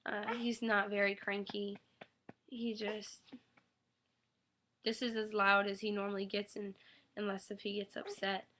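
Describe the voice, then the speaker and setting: Raspy-voiced young mom on a webcam. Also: female voice, mid-range voice, flat delivery, measured pace, pauses often, pushed and bright, a little hiss or hum. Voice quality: raspy from losing her voice. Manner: rambling, many ums, coos baby talk to her infant. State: getting over an illness. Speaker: new mother vlogger. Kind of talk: casual webcam update. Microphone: webcam mic.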